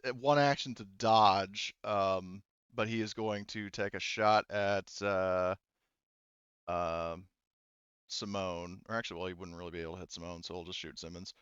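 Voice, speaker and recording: A man acting as game master in a tabletop roleplaying game, improvising narration, thinking aloud haltingly and swearing casually. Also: fairly low pitch, fairly bright tone, clean tone, dry recording, dull audio.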